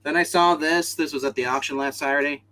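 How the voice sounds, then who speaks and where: A man's voice: on the high side, fairly even intonation, fast, sharp tone, low-quality recording. A man hosting a casual comic book chat show, talking in a chatty, slangy way. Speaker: host of a comics review show.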